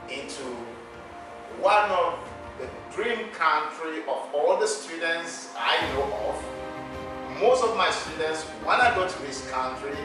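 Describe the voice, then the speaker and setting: A man with a high voice interviews a former student in an emphatic, coaching way. He sounds set back from the microphone in a very echoey space. Music plays clearly underneath. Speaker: English teacher and host. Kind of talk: motivational interview. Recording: echoey, distant mic, music behind.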